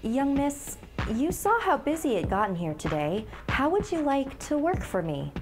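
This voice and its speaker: A smooth, persuasive village baker , acted by a woman narrator speaks from a dark storybook. He seems kindly on the surface but is sly beneath, with music behind the voice. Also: high voice, very animated, normal speed, pitched above the performer's own voice. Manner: smooth, dismissive, ominous.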